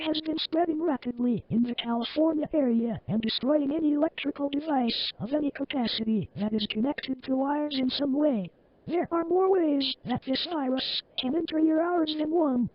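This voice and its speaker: Lady doing a formal tv emergency announcer, high voice, muffled lo-fi sound, reading official warning. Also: close to an everyday voice. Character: formal female local TV emergency alert announcer. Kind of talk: scripted emergency broadcast announcement.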